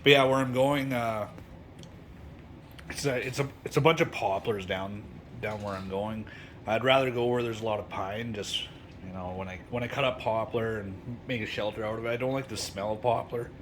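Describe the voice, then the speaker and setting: A man's voice: lowish voice, normal speed, a little hiss or hum. A man with a stuffy, congested voice from a cold, chatting casually and rambling in a vlog about his camping gear and plans. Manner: relaxed, rambling, with many fillers and restarts. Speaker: bushcraft vlogger. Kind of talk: casual outdoor vlog talk.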